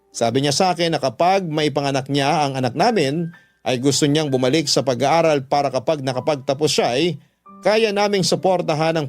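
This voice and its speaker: A man with a Filipino accent narrating a first-person confessional story for radio, earnest and sorrowful. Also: on the high side. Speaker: radio drama narrator reading a letter sender's story.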